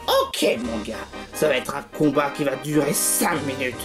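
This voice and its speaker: A performer voicing a gruff, menacing, bad-tempered male pig villain in an amateur cartoon, foul-mouthed and threatening. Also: medium pitch, expressive, normal speed, over music, lightly acted. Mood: threatening, smug.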